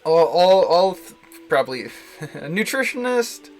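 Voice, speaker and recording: A man giving casual live playthrough commentary, wry and halting, often restarting his phrases. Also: high-pitched, big pitch swings, faint music behind, rough recording. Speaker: streamer playing a visual novel.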